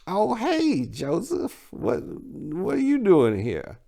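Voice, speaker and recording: A man acts out a flighty grown sister from a story, pitched high and swooping. The delivery is breezy and offhand, stretching words while brushing people off. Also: huge pitch swings, takes it slowly, gravelly, dark tone, full character voice. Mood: confused, evasive. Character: flighty, dismissive adult sister brushing off her brother.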